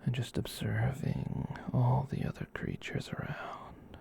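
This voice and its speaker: Performer playing a giant catboy, ASMR roleplay, tender and comforting, whispery, dark soft tone, slow halting rambles. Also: mid-range voice, level tone. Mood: wistful. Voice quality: soft breathy whisper with creaky edge. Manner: soothing, halting rambles with cutesy verbal tic. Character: gentle giant catboy, former wizard and alchemist, comforting.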